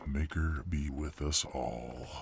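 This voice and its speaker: A man playing a grave, formal official who speaks deliberately in a fantasy audio roleplay. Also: extremely low pitch, fairly even intonation, gravelly, very noisy, exaggerated voice. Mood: grave, courteous. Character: grave male fantasy official giving a woman orders.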